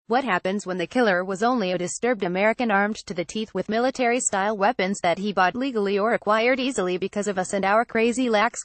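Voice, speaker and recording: Woman, column read-aloud, even steady delivery, mid-pitched, dry close sound. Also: no room sound. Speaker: reader of an opinion column.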